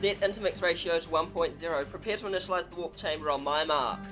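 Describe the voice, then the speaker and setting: A man playing an exacting starship chief engineer in a fan audio drama, giving brisk, clipped orders. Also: on the high side, over loud music, close to an everyday voice, poor audio. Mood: focused.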